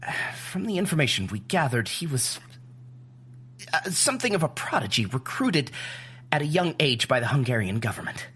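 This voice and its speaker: Bookish doctor, husky voice, lively intonation, hesitant expository delivery, audio drama. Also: mid-range voice, moderate pace. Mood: measured, slightly amused. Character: hesitant, mild scientific expert briefing agents. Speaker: scientist.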